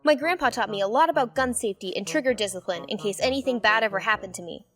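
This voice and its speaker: A high, girlish fan-dub voice, performed by a woman plays an earnest and suspicious teenager. She is bossy and lectures emphatically, with faint music in the background. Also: quick pace, fairly bright tone, lightly acted. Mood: stern, anxious.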